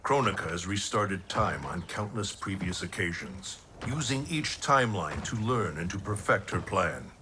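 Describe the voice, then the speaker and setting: An actor playing a solemn, commanding older thunder god in a video game, speaking with grave, formal diction. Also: male voice, low-pitched, expressive, normal speed, hardly any pauses, bright, forceful tone, a little hiss or hum. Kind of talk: dramatic video game cutscene acting.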